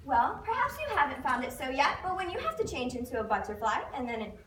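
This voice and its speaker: A woman's voice: high-pitched, very animated, fast, a bit bright. A woman playing a bewildered, polite, easily frustrated young girl in an amateur readers theater performance. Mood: bewildered, earnest. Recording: echoey room, distant mic, faint noise.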